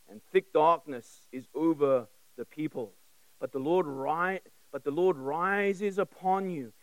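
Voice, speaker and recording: A man's voice: on the high side, no room sound, rough recording. A man who grew up in South Africa preaching a sermon in a conversational, emphatic way, with direct questions, repeated phrases and personal stories. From South Africa, born in England.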